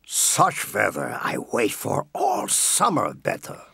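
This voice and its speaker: An older actor playing an elderly, gentle, secretive professor in a comedy audio play, with a gravelly, husky voice. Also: male voice, fairly low pitch, wildly swooping pitch, slow pace, no echo, clean studio sound, heavily acted. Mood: fond, secretive.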